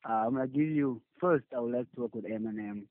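A man's voice: medium pitch, fast talker, dry recording. A young man from Zimbabwe, a rapper and producer, answering casually in a laid-back, mumbling way and swearing now and then. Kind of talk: casual interview. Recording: tinny phone-like audio.

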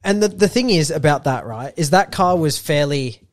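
A man with a slightly high voice co-hosts a casual car podcast in a relaxed, opinionated way. He is recorded close and dry, with no room sound. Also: normal speed, dry recording. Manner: relaxed, opinionated, says um and yeah often. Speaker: podcast co-host. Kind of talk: casual chat.

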